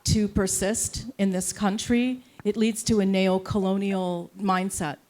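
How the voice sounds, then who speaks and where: A woman speaks as a panelist in a discussion. She is insistent, restating key phrases and seeking agreement in a mid-pitched voice. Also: flat delivery, measured pace, a little mellow.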